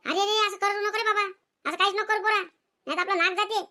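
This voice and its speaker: A performer voicing an indulgent, quarrelsome village mother in a comedic cartoon, sharp-tongued, with a rural Maharashtrian Marathi accent. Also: very high-pitched, steady tone, fast talker, dry recording, clean studio sound, full character voice. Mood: pleading. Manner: scolding husband, coddling son. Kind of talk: comedic cartoon skit dialogue.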